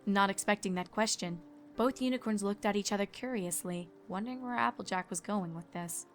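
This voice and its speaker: A woman's voice: mid-range voice, brisk. A woman reading scripted story narration. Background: music.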